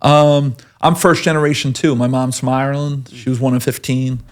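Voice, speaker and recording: A man's voice: medium pitch, steady tone, normal speed. A man with a strong Long Island accent hosting an interview, chatty and often ending his remarks with "right?". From Long Island, New York. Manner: chatty, leading questions with tag "right?". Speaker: podcast host. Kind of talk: conversational interview.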